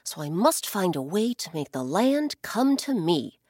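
A woman's voice: lowish voice, big pitch swings, unhurried, darkish tone, no echo, clean studio sound. A woman voicing a scheming, mischievous male blackbird in a children's story, talking in a sly, conspiratorial way. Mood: excited.

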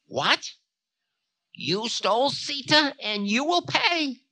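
Shrill, menacing spirit of Time. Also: male voice, big pitch swings, measured pace, vocal fry, sharp tone, full character voice. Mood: outraged. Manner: indignant, ominous pronouncements. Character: Time personified, cruel, prim, menacing male spirit of doom. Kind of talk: dramatic mythological storytelling.

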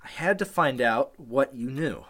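A man's voice: mid-range voice, animated, measured pace, fairly bright tone. An actor playing a scheming, injured man who puts on protective concern, urgent and persuasive, in an old-time radio play. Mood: smug, menacing. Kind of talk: Golden Age style radio play acting.

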